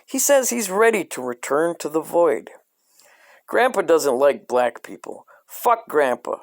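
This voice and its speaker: A man reading a dark comic coloring book aloud in a deadpan way, breaking off into profane asides. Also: fairly high pitch, unhurried, no room sound, clean studio sound.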